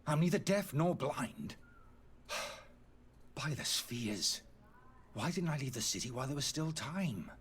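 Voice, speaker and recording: An actor playing a disgraced, indignant alchemist in a fantasy video game, pleading and justifying himself theatrically in a slightly husky voice. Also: male voice, medium pitch, lively intonation, slow pace, pauses often, a little hiss or hum. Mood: bitter, exasperated. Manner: agitated, defensive, theatrical delivery.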